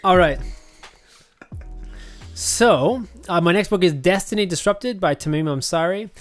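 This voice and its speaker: Male podcast guest, slightly high voice, animated and colloquial, chatting about books. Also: a little slow, faint music behind. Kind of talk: casual book chat.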